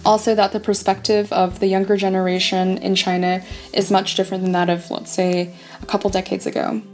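Woman speaking in a flat, articulate tone. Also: medium pitch, brisk, soft background music. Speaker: interviewee.

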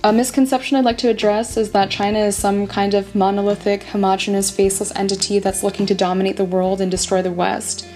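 A woman's voice: mid-range voice, little pitch movement, quick pace, few pauses, a little mellow, faint music behind. A woman giving a prepared, articulate statement in an interview.

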